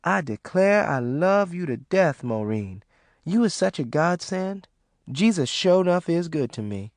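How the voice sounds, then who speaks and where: Man voicing a fearless boastful matriarch, emphatic, raised pitch, lively. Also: measured pace, no room sound, studio quality. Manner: bold, emphatic dialect speech. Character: fearless tough older Southern woman, boastful.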